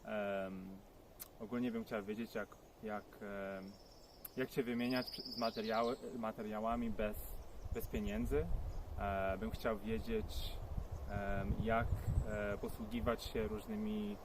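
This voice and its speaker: A man's voice: lowish voice, flat delivery, measured pace, noisy background. Reflective man. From Poland. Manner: reflective, earnest, thinks aloud. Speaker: interviewee. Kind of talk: outdoor interview answers. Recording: crickets clearly audible outdoors.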